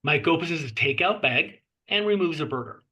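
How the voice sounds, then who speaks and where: A man narrates screenplay stage directions at a table read. His voice sits a little high for a man and has a bright, forceful edge. The delivery is that of scripted narration. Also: animated, moderate pace.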